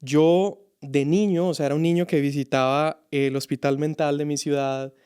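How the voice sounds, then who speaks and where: A man from Colombia, a youth pastor telling his story as a podcast guest, reflective and halting. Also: a little high, fairly even intonation, a little mellow, clear, resonant tone. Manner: reflective, halting with many restarts. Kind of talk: casual podcast conversation.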